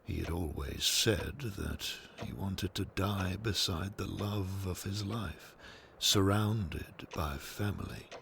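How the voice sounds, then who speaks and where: A man narrates an audio drama in a low, gravelly voice. His storytelling is slow and measured, like a storybook being read out. There is clear noise and ambience behind him. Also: a bit bright.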